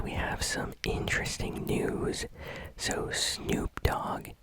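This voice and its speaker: A gaming news host whispering a casual ASMR news roundup, chatty and unhurried with frequent fillers. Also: poor audio.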